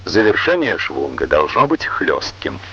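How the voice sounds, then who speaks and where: A man reading scripted instructional narration in a formal, measured, didactic way, on an old Soviet educational film soundtrack. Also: a bass voice, wildly swooping pitch, pushed and bright, breathy edge, muffled recording.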